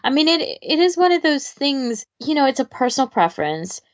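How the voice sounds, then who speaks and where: A woman's voice: high voice, sing-song, fairly quick. A woman co-hosting a podcast in a casual, conversational way, on slightly lo-fi audio. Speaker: podcast co-host. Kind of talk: casual chat.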